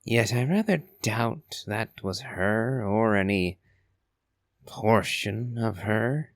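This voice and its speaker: A man giving low-key video game playthrough commentary, musing in a measured, wry way. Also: dry recording, clean studio sound. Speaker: gamer playing and voicing reactions. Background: game music.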